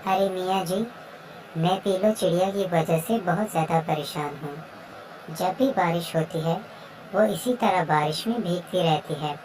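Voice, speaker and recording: Kind, worried pigeon lady, played by a woman, lowish. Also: measured pace, darkish tone, close to an everyday voice, poor audio. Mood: worried, pleading. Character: kind, worried female pigeon, caring wife and neighbour. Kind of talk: children's cartoon story dialogue.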